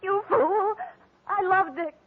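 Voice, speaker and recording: A woman's voice: very high-pitched, takes it slowly. An actress playing a desperate, defiant woman in love, in a 1940s radio melodrama, breathless and pleading. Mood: anguished, defiant. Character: desperate, defiant, lovestruck woman cornered by a detective. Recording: tinny narrow-band old radio broadcast.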